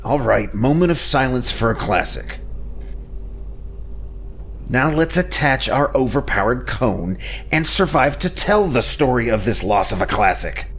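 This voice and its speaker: A man's voice: medium pitch, expressive, measured pace, a little hiss or hum. A man playing a decisive starship pilot in a sci-fi audio drama, giving orders with dry quips. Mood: wry, impatient. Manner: dry, commanding, quippy. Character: wry, decisive male starship pilot and captain. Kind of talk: science fiction audio drama acting.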